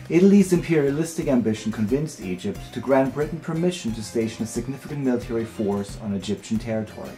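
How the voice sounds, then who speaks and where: A man's voice: medium pitch, darkish tone. A man presenting and narrating a historical newsreel. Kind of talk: scripted historical narration with informal thanks and appeals.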